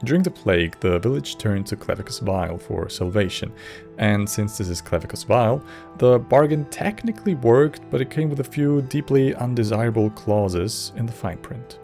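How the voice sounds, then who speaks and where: A man's voice: medium pitch, expressive, moderate pace, a little mellow, soft background music. A man narrating as host of a video essay, scripted with casual asides.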